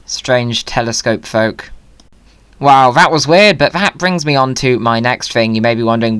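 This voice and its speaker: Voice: medium pitch, no room sound. Playful, chatty gamer. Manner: playful, jokey, excitable. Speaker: video creator hosting a gaming video. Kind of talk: chatty gaming commentary.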